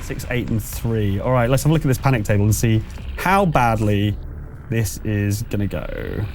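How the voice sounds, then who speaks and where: A man narrating as game master of a tabletop horror game, improvising and explaining rules with halting pauses. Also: lowish voice, animated, a little mellow, slight background noise.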